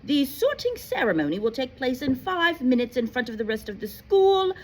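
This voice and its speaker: A woman voicing a stern, formal senior witch professor in a storybook, with declamatory, deliberate delivery. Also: high voice, very animated, voice pushed up, low-quality recording. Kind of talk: storybook character voice.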